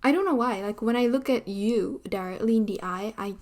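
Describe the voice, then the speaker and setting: A woman in her twenties with a Malaysian accent, chatting casually to camera in an animated way, full of "like" and "you know". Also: on the high side, brisk. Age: 20s. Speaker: vlogger. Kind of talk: casual talking-head vlog. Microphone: camera mic, seated on a chair.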